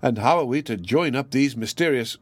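A man's voice: mid-range voice, expressive, fairly quick, no room sound, natural voice. A genteel young lady of a storybook, voiced by a male narrator, dreamy, earnest and eager. The sound is dry and close. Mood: eager, curious.

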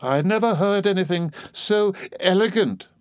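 A man's voice: high voice, expressive, moderate pace, no echo, pitched above the performer's own voice. A man voicing a haughty, prim spinster sister who speaks with sarcasm. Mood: sneering.

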